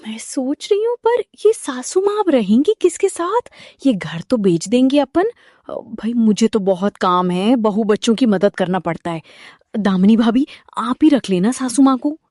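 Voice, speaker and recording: A woman's voice: on the high side, animated, moderate pace, a little mellow, no echo, clean studio sound. A woman voicing a scheming, selfish daughter-in-law who slyly pushes the burden of care onto others. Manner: sly, coaxing.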